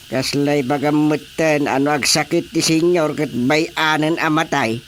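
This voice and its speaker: A man's voice: on the high side, fairly even intonation, measured pace, a bit bright, slight background noise. A man playing a grumbling, world-weary elderly husband with an Ilocano accent in a comic radio drama, drawling and exclamatory.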